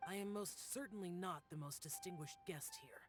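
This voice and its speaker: A man's voice: sits high, normal speed, natural voice. A man playing a stern, aloof young immortal demon slayer in a game cutscene, terse, formal and detached. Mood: cool refusal. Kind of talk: animated game cutscene dialogue. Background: background music.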